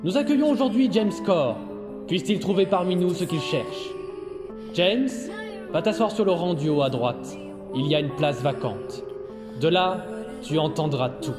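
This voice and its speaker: An actor playing a solemn, theatrical master of ceremonies in a French audio drama, preaching with pompous grandeur. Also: male voice, fairly high pitch, animated, a little slow. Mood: solemn, grandiose. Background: music.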